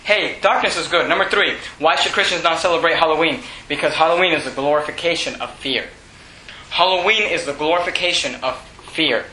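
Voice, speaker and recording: A man in his twenties preaching an impassioned sermon with scripture readings, speaking in an emphatic, repetitive way that often pushes toward shouting. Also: on the high side, fairly quick. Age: 20s. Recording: echoey room with faint noise.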